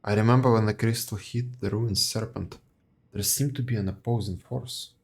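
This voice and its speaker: A man's voice: on the low side, steady tone, normal speed, gravelly. A man streaming a video game, reading dialogue aloud and reacting offhandedly.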